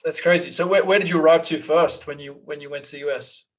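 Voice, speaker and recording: A man hosting a casual interview, relaxed, often restarting his questions. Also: a little high, quick pace.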